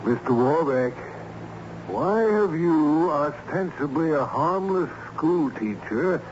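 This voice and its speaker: A man playing a hearty, menacingly polite crooked lawyer, questioning formally and briskly in an old-time radio drama. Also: a little high, animated, darkish tone. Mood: pressing. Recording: muffled, noisy old radio recording.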